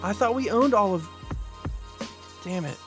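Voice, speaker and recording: A man's voice: high-pitched, lively intonation, unhurried, over music. A man acting an earnest, hopeful and grateful brother in a naturalistic audio drama. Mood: uneasy.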